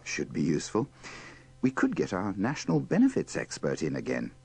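A man's voice: sits low, wildly swooping pitch, brisk, vocal fry, darkish tone, breathy edge. A man presenting in scripted, wry narration with a dry, tongue-in-cheek manner.

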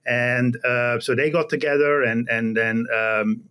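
A middle-aged man from the Netherlands with a Dutch accent explains his research in a halting way, often repeating words, on a video call from an office. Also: medium pitch, steady tone, pushed and bright, clear, resonant tone. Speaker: guest, animal genetics researcher. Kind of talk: explanatory interview talk. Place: small indoor office. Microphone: computer video call.

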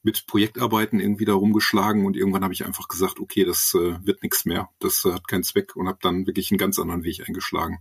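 A man's voice: deep voice, flat delivery, fast talker, vocal fry, few pauses, husky. A man in his forties from the Ruhr area with a light Ruhr accent, answering interview questions in a hesitant, casual way. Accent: Ruhr German. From Essen, Germany. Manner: hesitant, many ums and restarts. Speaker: podcast guest, writer and project manager.

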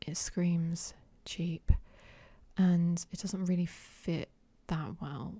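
A woman whispers right into a close microphone for an ASMR try-on, her voice breathy and mellow in a dry, deadened space. She sits a little low in pitch and keeps trailing off, hesitant and unsure, with plenty of soft ums. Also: steady tone, drawn-out words, no room sound. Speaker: ASMR creator.

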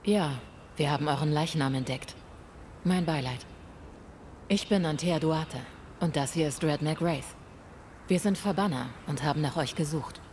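An actress playing a composed, stern female ghost hunter in a video game, speaking formally, measured and direct. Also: deep voice, a little mellow, lightly acted. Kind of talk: video game dialogue acting. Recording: faint ambient noise.

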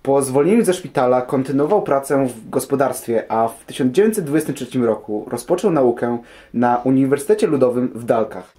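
Young male guest, soft dark timbre, rough-edged, explaining from a script. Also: mid-range voice, animated, a little fast. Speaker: programme guest. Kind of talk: scripted informative talk.

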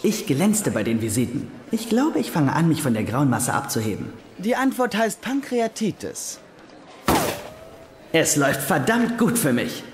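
A young German-dubbed sitcom doctor with a lightly high, very animated voice. He is self-dramatizing and wry, boastful yet insecure, in a reverberant space. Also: measured pace, a little hiss or hum. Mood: smug, wry. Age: young adult. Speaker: lead character and narrator. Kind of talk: German-dubbed TV comedy, inner monologue and dialogue.

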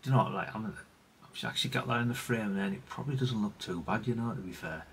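A man's voice: lowish voice, fast, slight background noise. A middle-aged British man giving a casual, unscripted hands-on demonstration as a hobbyist repairer, rambling, self-deprecating and mildly sweary. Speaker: hobbyist radio repair presenter.

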